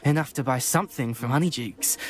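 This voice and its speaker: An actress playing a sneering, greedy teenage school bully in a video game, with a mocking drawl. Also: female voice, sits low. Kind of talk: video game cutscene acting.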